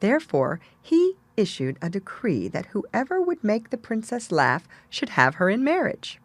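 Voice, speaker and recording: A woman's voice: medium pitch, huge pitch swings, unhurried, no echo. A woman reading a fairy tale as a storyteller, in scripted narration.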